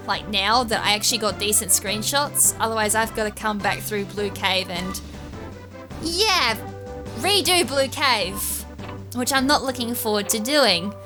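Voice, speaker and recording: A woman giving casual, rambling video game walkthrough commentary, thinking aloud with an occasional stutter. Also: on the high side. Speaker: gaming YouTuber, series host. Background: game music.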